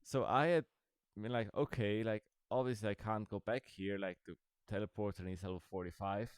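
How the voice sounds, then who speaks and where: A man's voice: on the low side, fairly even intonation, moderate pace, smooth, dry recording. A man giving casual, rambling commentary over a game he is playing, with dry, sarcastic asides. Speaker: gaming video creator.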